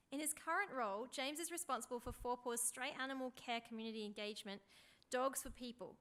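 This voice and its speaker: A woman's voice: on the high side, bright, forceful tone. A woman hosting a conference session, reading out a formal introduction for a speaker.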